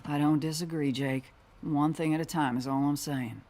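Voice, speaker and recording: Woman playing a gruff cowgirl bounty hunter. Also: low-pitched, fairly even intonation, normal speed, darkish tone, close to an everyday voice. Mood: businesslike. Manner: dry, sardonic, folksy. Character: gruff, world-weary female mech-pilot bounty hunter in a post-apocalyptic western. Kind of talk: video game cutscene acting. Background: background music.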